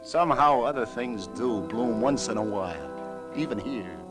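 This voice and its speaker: An actor playing a world-weary but kindly New York detective in a 1940s radio drama, plainspoken and a little hesitant. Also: male voice, medium pitch, animated, a little slow, natural voice. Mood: wistful. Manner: hesitant, folksy, wistful, plainspoken. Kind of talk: 1940s noir radio drama acting and narration. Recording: vintage radio drama with loud music.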